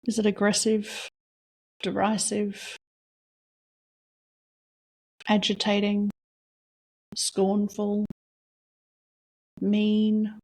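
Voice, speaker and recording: A woman leading a guided reflection exercise, asking slow, soft questions with long pauses between them. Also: mid-range voice, monotone, rough recording. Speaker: meditation guide.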